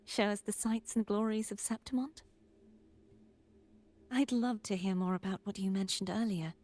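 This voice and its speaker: A woman voicing a calm, mysterious young guide in a video game, soft and breathy, with a measured, solemn delivery. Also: mid-range voice, lightly acted. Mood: gentle, inviting. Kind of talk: voiced game dialogue.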